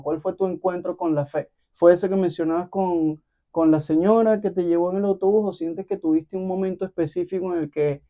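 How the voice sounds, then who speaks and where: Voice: medium pitch, dull audio. An interview host asking questions in a casual, affirming, conversational way. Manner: affirming and conversational, with small listening sounds.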